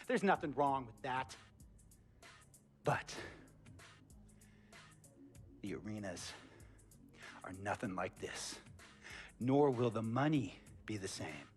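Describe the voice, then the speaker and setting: An actor playing a flamboyant, bullying billionaire who owns a basketball team, theatrical and preachy, in a video game cutscene. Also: male voice, mid-range voice, natural voice. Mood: menacing, calm. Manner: theatrical, preachy, builds from hushed to shouting.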